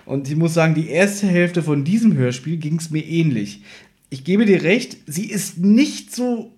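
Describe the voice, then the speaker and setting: A man's voice: fairly high pitch, animated, unhurried, darkish tone. A man co-hosting a podcast, casually reviewing an audio drama in a teasing, ironic way. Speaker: podcast co-host.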